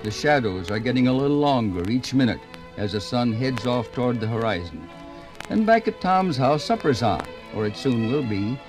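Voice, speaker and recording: A man's voice: mid-range voice, sing-song, moderate pace, rough recording. An actor playing an older, homespun working man who chats to listeners in 1950s radio drama narration. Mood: cozy, chatty. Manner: chatty, folksy, addressing listeners directly. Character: folksy old Minnesota working man, homespun and hopeful. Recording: 1950s radio record with music behind.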